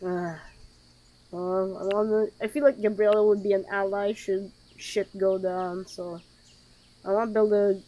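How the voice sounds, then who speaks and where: A woman's voice: mid-range voice, flat delivery, unhurried, dark tone, muffled recording. A woman streaming a game with casual, reactive commentary, sarcastically talking back to the characters. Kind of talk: casual let's play commentary.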